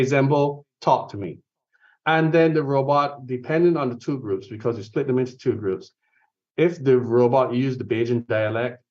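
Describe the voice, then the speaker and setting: A man's voice: mid-range voice, a little mellow. Distant man presenting research with fillers. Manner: explanatory, frequent fillers and self-corrections. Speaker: conference presenter on robotics research. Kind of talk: academic research talk. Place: conference venue or online session. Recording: distant, dull lo-fi conference recording.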